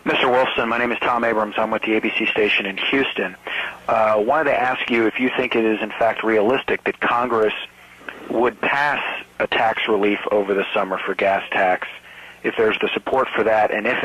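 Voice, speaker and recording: A man's voice: lowish voice, fairly even intonation, moderate pace, fairly bright tone, husky, low-quality recording. An American man, a television reporter, asking questions on a press call.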